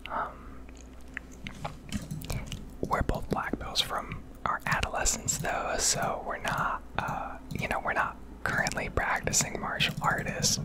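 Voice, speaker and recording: An American woman rambling candidly and casually in a breathy ASMR whisper, swearing now and then, recorded on a close microphone. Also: measured pace, hardly any pauses, poor audio. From United States. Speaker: ASMR video creator.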